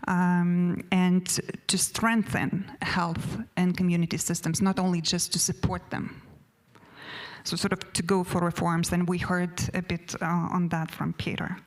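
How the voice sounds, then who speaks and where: A woman with a Lithuanian accent delivers a panel presentation in non-native English. Her voice sits low, and she pauses often with fillers while keeping to her notes. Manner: hesitant, many fillers and restarts, reading from notes. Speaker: panel speaker. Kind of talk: conference presentation.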